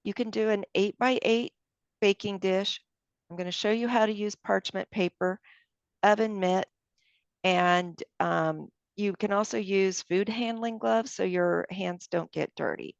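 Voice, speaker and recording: A woman's voice: mid-range voice, steady tone, unhurried, vocal fry, no echo. A woman leading a cooking class, walking viewers through each step aloud as she works. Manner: friendly, explains each step aloud while working. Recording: dull lo-fi sound.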